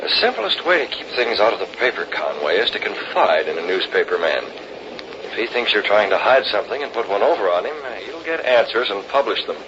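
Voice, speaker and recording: Low-voiced, shrewd newspaper publisher. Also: male voice, sing-song, a little fast. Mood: dry, probing. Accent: American. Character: shrewd, guarded, urbane male newspaper publisher. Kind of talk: old-time American radio drama acting. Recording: dull, noisy 1930s-40s radio recording.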